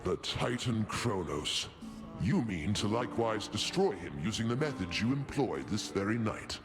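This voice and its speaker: A man's voice: lowish voice, sing-song, normal speed. A man voicing a jovial, grandiose king of the gods in a video game, proclaiming in a pompous, theatrical way. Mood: grave. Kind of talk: scripted video game voice acting. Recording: music behind the voice.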